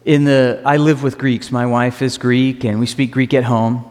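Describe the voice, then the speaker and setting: A man preaching a sermon as pastor, earnest and emphatic, slowing down to stress key phrases. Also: mid-range voice, level tone, darkish tone. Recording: reverberant hall.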